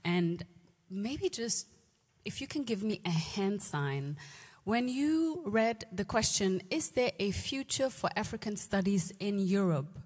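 A woman's voice: mid-range voice, expressive, slow pace, a little mellow. A woman moderating a panel discussion on stage, asking the audience playful questions into a handheld mic. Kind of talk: moderating a roundtable, polling the audience. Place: large lecture hall stage. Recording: reverberant large hall.

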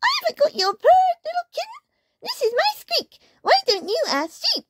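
A minuscule, squeaky mouse from a children's storybook. The voice is pushed to an extremely high pitch. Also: female voice, huge pitch swings, moderate pace, very noisy, heavily acted.

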